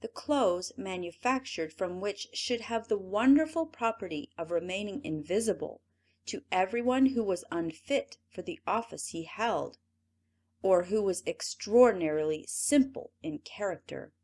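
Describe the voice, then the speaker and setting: A native English-speaking woman narrating a fairy tale audiobook in an expressive, measured way. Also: mid-range voice. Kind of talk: storybook narration.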